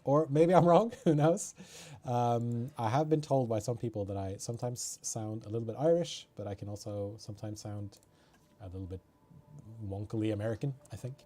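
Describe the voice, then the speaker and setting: Mellow-voiced Norwegian game streamer. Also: male voice, mid-range voice, moderate pace. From Norway. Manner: chatty, self-mocking, mutters while playing. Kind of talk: casual gameplay commentary.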